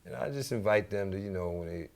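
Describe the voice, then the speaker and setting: Very deep, gravelly New York man. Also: fast talker, a little mellow. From New York City. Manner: rambling, slangy, peppered with "you know" and "man". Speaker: DJ and music teacher, radio show guest. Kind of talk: casual interview chat.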